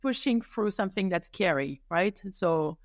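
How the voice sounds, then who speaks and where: A woman's voice: lowish voice, expressive, moderate pace, dry recording, clean studio sound. A middle-aged woman from France, a rheumatologist guest, answering enthusiastically with laughs and many restarts. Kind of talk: casual interview answers.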